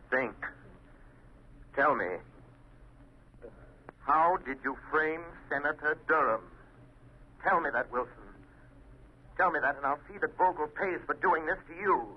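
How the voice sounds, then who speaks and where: An actor in a 1930s radio drama playing a grave, urgent crime fighter with an earnest, commanding manner. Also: male voice, fairly high pitch, lively intonation, measured pace, long pauses. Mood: insistent. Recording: muffled, noisy 1930s radio recording.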